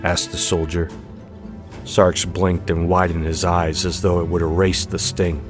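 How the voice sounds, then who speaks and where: A man's voice: low voice, little pitch movement, unhurried. A man narrating a dramatized audiobook with music behind him. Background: background music.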